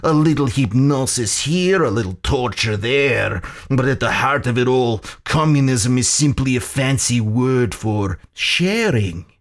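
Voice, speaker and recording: Man acting an oily, gloating general, slow and menacing. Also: mid-range voice, lively intonation, voice pushed up. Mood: mocking. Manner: sultry, theatrical, deliberate.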